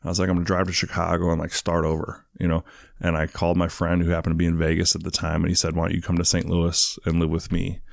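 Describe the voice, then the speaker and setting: A man with a very deep voice tells his story in a loose, rambling way, padding his sentences with fillers and asides. The sound is dry and close, with no room around it. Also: monotone, fast talker, vocal fry, no room sound. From England. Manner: rambling, many fillers, "you know what I mean". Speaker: guest. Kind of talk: casual storytelling interview.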